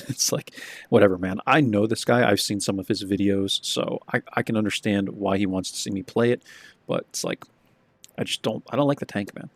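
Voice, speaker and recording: A man giving laid-back, rambling commentary over his own gameplay, his voice a bit hoarse and cracking. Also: lowish voice, no echo.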